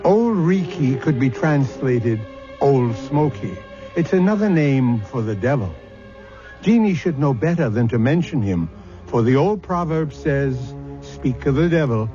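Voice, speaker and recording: Casting: male mystery-radio host, animated mid-pitched voice, theatrical scripted narration, music underneath, muffled vintage recording. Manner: theatrical, measured storyteller.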